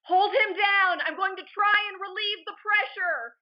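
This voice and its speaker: Very high, urgent medic played by a woman. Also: steady tone, unhurried, highs cut off. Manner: shouting urgent orders. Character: urgent, panicked field medic giving orders. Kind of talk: audio drama acting.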